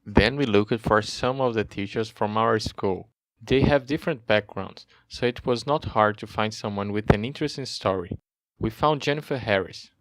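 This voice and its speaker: A man's voice: on the low side, moderate pace, rough recording. A male student from Brazil giving read-aloud narration for a class project. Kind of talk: scripted narration.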